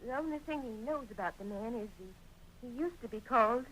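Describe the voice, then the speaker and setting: An actress playing a rancher's earnest, defiant young daughter with an American accent in an old-time radio western. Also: female voice, fairly high pitch, animated, a bit bright, a little hiss or hum. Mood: reluctant, uneasy. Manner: emotional, halting, protesting. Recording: tinny vintage radio drama recording.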